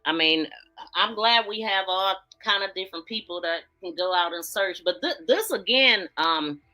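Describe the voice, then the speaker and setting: A woman's voice: medium pitch, lively intonation, moderate pace, sharp tone, soft background music. An American woman hosting a livestream with casual, chatty commentary in informal speech. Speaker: livestream host. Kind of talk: casual livestream commentary, reacting to played audio.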